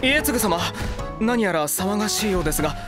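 A man's voice: sits high, animated, a little fast. A man voicing a devoted, courteous retainer with formal, deferential and theatrical speech in a comedic voice anime. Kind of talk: Japanese voice-anime comedy acting. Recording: music bed behind the voice.

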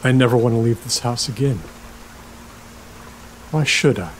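An actor playing a stubborn, halting older man, a reclusive rental host, in an audio drama. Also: mid-range voice, a little hiss or hum, lightly acted. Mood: bitter, grieving. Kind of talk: naturalistic audio drama acting.

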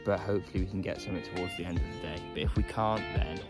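Young male vlogger by a lake, deeper voice, laid-back and rambling, phone mic at arm's length. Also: fairly even intonation, rapid-fire, over music. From England. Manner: low-key, resigned, chatty with many "um"s. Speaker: carp fishing vlogger. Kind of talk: casual vlog to camera. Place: outdoors by a lake. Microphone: phone camera held at arm's length.

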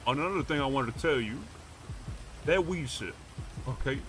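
A man's voice: medium pitch, lively intonation, normal speed, fairly bright tone, a little hiss or hum. A man roleplaying a pushy, streetwise, profane character in a game, talking in slang. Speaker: roleplay gamer streaming.

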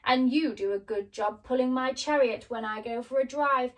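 A woman voicing an angry, gloating old witch in a storybook, with a drawn-out, commanding delivery. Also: high-pitched, recorded from a distance, pitched above the performer's own voice, natural voice. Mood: gloating, greedy.

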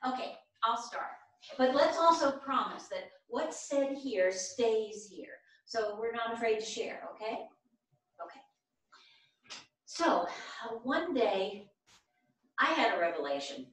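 A woman's voice: a little high, very animated, takes it slowly, a bit bright, heavily acted. A woman in a staged play reading, playing a candid older widowed mother with an earnest, storytelling delivery. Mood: brisk, firm. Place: theatre stage, indoors. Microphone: far camera. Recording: echoey theatre stage from far off.

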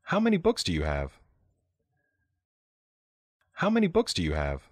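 A man reads simple example sentences with careful, clear delivery. His voice is on the lower side and moves lively up and down. The recording is dry and close. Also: a little slow, no echo. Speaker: listening practice speaker. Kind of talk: slow read example sentences.

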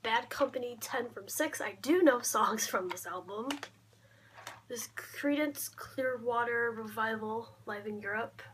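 Young woman, fairly high voice, casual vlog chat, low-key, trailing off, noisy bedroom recording. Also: unhurried. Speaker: vlogger showing a collection. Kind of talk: casual show-and-tell vlog. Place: small cluttered bedroom, indoors. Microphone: camera mic at arm's length. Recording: clear background noise, handling clicks.

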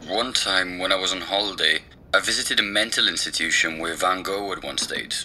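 A casual research helper in an audio drama, a man with a deep voice and a very bright, forward edge. He talks in a relaxed, questioning way. Faint rumbling noise sits on the call. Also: brisk. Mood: curious. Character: laid-back, questioning male podcast research helper. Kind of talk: naturalistic audio drama dialogue over a call.

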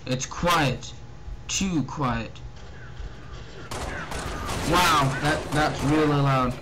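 A young man giving casual, excitable gameplay commentary, often muttering to himself and exclaiming as he plays. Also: on the high side, very slow, noisy background. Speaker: let's play gamer. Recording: loud game music and gunfire behind. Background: loud video game music and gunfire.

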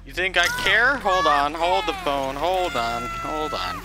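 A man's voice: a little high, expressive, unhurried, background noise. A man reacting live to a video game, jumpy and exclaiming, often panicky and rambling. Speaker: gameplay streamer.

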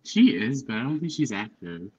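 A young man with a medium-pitched voice talks casually about books on a video call. He is enthusiastic and informal, dropping slangy praise as he recommends things. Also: very animated, normal speed, a little mellow, slightly rough, muffled recording. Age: young adult. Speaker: book livestream host. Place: indoor room at home, on a video call. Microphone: headphones on, video call.

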